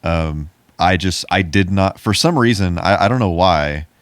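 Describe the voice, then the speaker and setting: A man co-hosting a podcast, chatting casually in a laconic, somewhat halting way. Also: sits low, clean tone, no room sound. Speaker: podcast co-host.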